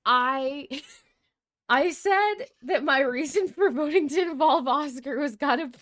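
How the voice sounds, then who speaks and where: A woman's voice: high-pitched, takes it slowly, a bit bright, no room sound. A woman podcast host talking in casual, frank and playful conversation.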